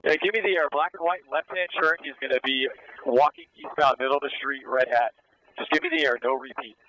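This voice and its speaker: A man's voice: a little high, a little fast, bright, forceful tone, low-quality recording. A man speaking over police radio as an air unit officer, giving terse, directive tactical callouts. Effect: police radio transmission.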